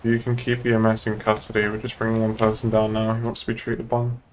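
Deadpan young British police officer played by a man. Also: fairly low pitch, fast, slight background noise, lightly acted, poor audio. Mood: calm. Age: young adult. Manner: deadpan, polite, clipped. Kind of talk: streamed online police roleplay improvisation.

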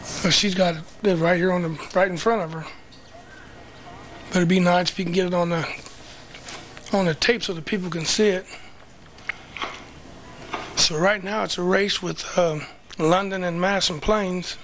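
A man with a hoarse voice giving chatty, rambling play-by-play at an outdoor track meet for local TV. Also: high-pitched, steady tone, moderate pace, long pauses, fairly bright tone, a little hiss or hum. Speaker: local TV commentator. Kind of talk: casual play-by-play commentary.

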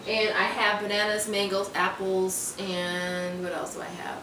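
A woman's voice: mid-range voice, flat delivery, unhurried. Young woman, rambling casual vlog, home kitchen, distant mic, echoey room. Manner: chatty, rambling with fillers and restarts. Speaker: fitness vlogger. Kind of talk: casual meal prep vlog. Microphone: camera on counter a few feet away. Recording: roomy, distant, noisy camera audio.

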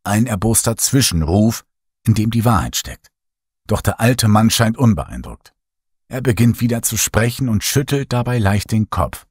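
A man narrating a first-person horror story as an audiobook, in a slow, hushed, dramatic way. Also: lowish voice, expressive, slightly rough, no echo, clean studio sound.

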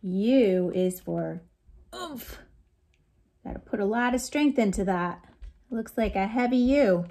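An American woman in her forties hosting a read-aloud storytime for young children, in an animated, sing-song way with playful sound effects. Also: mid-range voice, takes it slowly, creaky, long pauses. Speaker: children's librarian, storytime host. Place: small lamp-lit room at home.

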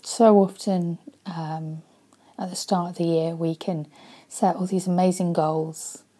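A woman in her forties, a life coach, speaking earnestly and softly into a webcam in her living room, often dropping to a breathy near-whisper. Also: on the low side, fairly even intonation, measured pace. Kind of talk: motivational vlog talk.

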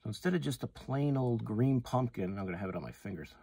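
A man's voice: fairly low pitch, animated, fast, dark tone, husky. A man, hosting a fishing channel and chatting casually through a hands-on demonstration, full of fillers and restarts. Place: tackle shop or room with walls of packaged lures, indoors.

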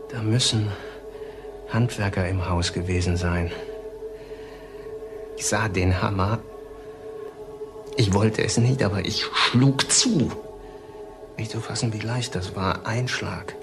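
Very deep-voiced haunted killer confessing. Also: male voice. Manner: halting, deliberate confession. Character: confessing middle-aged serial killer, tormented by his mother. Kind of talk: German crime radio drama acting. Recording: music underneath the voice.

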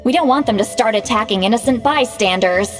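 A woman's voice: a little high, a little fast, faint music behind, natural voice. Woman voicing a proud young shaman, reverberant. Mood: concerned, businesslike. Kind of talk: scripted video game dialogue.

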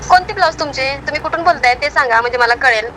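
A woman with a very high, bright voice talks insistently on the phone, with a western Maharashtrian accent. Faint traffic noise sits behind her argumentative speech. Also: quick pace, poor audio. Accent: western Maharashtrian Marathi. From Karad area, Maharashtra, India. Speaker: tailor. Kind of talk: phone conversation.

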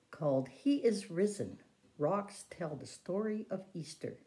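In a roomy, echoing space, an older woman reads a picture book aloud. Her voice is mellow and fairly low, and she takes careful, measured phrases. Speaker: guest story reader. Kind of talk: read-aloud children's picture book with Bible verses. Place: room at home. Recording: roomy echo.

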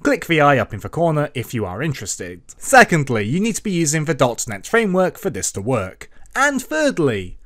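A man presenting a software tutorial, explaining things step by step in an instructional narration. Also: on the high side, wildly swooping pitch, moderate pace, few pauses. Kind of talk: scripted-sounding screen-recorded programming tutorial narration. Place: voice-over for a screen recording.

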